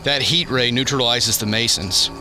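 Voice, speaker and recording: A man playing a steady, reassuring scientist in a radio drama, measured and explanatory in his delivery. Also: mid-range voice, a little hiss or hum, low-quality recording. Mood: grave, urgent.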